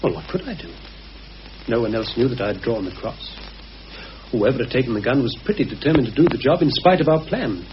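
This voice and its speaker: An actor playing a cautious, anxious British conspirator in a radio play, speaking in a deliberate, hesitant, earnest way. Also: male voice, medium pitch, expressive, natural voice. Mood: grave, suspicious. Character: anxious, cautious male conspirator confiding a grave suspicion. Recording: muffled, hissy old radio recording.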